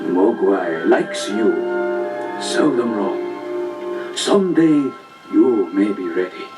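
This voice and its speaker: A man voicing a stern, wise, very old Chinese man, slow and measured, on a story record. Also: lowish voice, big pitch swings. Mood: solemn, gentle. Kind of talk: children's read-along story record acting. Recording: distant vinyl record over music.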